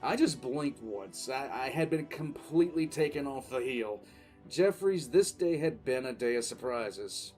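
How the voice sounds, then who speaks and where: A man's voice: mid-range voice, lively intonation, a little slow, a little mellow, close to an everyday voice. Weary military commissar telling his story, acted by a man. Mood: weary, bemused. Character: weary, cool-headed military commissar recounting his past. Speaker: narrator and lead voice actor. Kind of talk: dramatic first-person audio drama narration. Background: faint background music.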